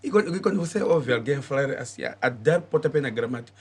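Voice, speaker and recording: A man hosting a podcast interview, conversational and probing with quick follow-up questions. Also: medium pitch, expressive, noisy background. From Mozambique. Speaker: podcast host. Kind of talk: casual interview.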